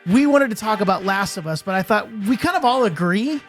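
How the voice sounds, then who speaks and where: High-pitched man hosting a show. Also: animated, a little fast, loud music behind the voice. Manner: showy announcer-like introductions, addressing the listeners. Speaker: host of a comics and gaming debate show. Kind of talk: casual panel banter, hosting.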